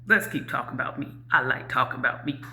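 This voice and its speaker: A woman with a very low voice, talking candidly to camera in a reflective way, with many ums and you-knows. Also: quick pace, bright, forceful tone. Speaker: YouTuber. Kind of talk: casual talk to camera.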